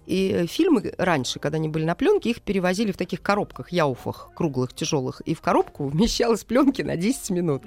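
A woman's voice: lowish voice, big pitch swings, fast, hardly any pauses, darkish tone. Woman explaining, faint music behind. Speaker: animation film director, studio guest. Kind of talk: explanatory interview talk.

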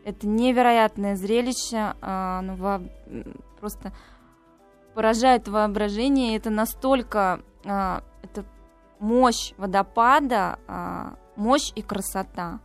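A woman's voice: medium pitch, takes it slowly, faint music behind. A woman guest in a radio interview, talking enthusiastically about travel with frequent hesitations.

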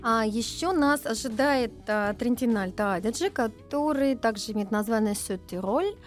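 A woman's voice: on the high side, animated, normal speed, soft background music. A woman speaking as a wine expert guest on a radio show, explaining patiently with some fillers.

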